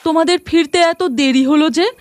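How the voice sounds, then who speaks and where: A woman's voice: high voice, steady tone, a little fast, a little mellow, natural voice. A woman voicing a fretful, caring elder wife in an anxious, motherly voice. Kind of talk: storybook narration with acted voices.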